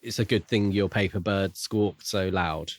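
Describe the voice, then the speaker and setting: A man's voice: low voice, fairly even intonation, unhurried, no room sound. A man co-hosting a podcast, casually retelling and discussing a book in a jokey, giggly way. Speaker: podcast co-host.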